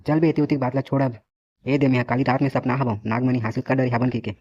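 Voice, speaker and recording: Man acting bossy cartoon snake-charmer, rapid speech, Chhattisgarhi accent, boastful, scolding, muffled recording. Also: mid-range voice, level tone, darkish tone, close to an everyday voice. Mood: boastful urging. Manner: brash, scolding. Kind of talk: comic cartoon dialogue. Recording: dull lo-fi audio, faint noise.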